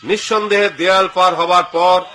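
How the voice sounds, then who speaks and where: A man's voice: high voice, fairly even intonation, normal speed, sharp tone, soft background music. A man voicing a resolute, devout sultan in a dubbed cartoon, addressing his army in formal, declamatory oratory. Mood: solemn, rousing. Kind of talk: dubbed cartoon speech to troops.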